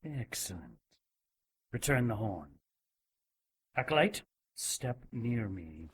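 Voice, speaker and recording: A man's voice: on the low side, very animated, slow pace, noisy background. An actor playing a cruel, zealous religious inquisitor in an audio drama, menacing and mock-polite as he coldly gloats. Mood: wary command.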